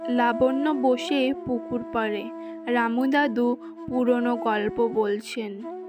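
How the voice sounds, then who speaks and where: A woman's voice: on the high side, fairly even intonation, slow, music in the background. A woman reading scripted fairy-tale narration as the narrator of a story.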